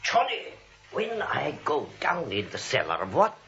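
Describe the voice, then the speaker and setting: An actor playing a sly, nervous crooked doctor, an excitable villain's sidekick, in 1940s radio comedy style. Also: male voice, mid-range voice, a little slow, creaky, heavily acted. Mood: sly excitement. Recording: dull old radio recording.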